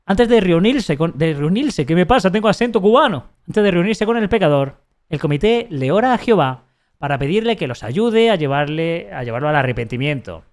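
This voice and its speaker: A man from Spain with a slightly high-pitched voice, reading aloud on a live stream. He keeps stopping to mock the text with sarcastic comments, often stumbling and restarting his sentences. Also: expressive. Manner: sarcastic, mocking asides; halting, frequent restarts while reading.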